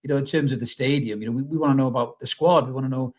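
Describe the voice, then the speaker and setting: Fast-talking, husky man chatting about football. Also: mid-range voice, steady tone. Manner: chatty, rambling, rhetorical questions, blunt swearing. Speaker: YouTube football channel host. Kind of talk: casual opinionated fan commentary to camera.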